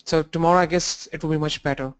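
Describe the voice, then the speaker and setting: A man's voice: on the high side, fairly even intonation, clear, resonant tone. Stuffy-nosed man teaching a class. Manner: halting, restarts and fillers, walks through screens. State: blocked nose from a cold. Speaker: instructor. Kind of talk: live online training lesson.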